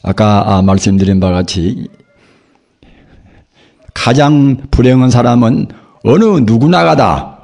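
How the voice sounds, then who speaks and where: An older man, a Buddhist monk, giving a sermon in a hoarse voice from a cold, preaching slowly and earnestly with rhetorical questions. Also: medium pitch, darkish tone. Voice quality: gravelly, husky. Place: temple dharma hall.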